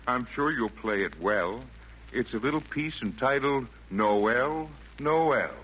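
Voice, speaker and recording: A man's voice: medium pitch, expressive, takes it slowly, fairly bright tone. An actor in a 1940s radio play voicing a kindly, jolly old man with genial, lilting, theatrical delivery of rhymed verse. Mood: genial, eager. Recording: noisy vintage 1940s radio broadcast.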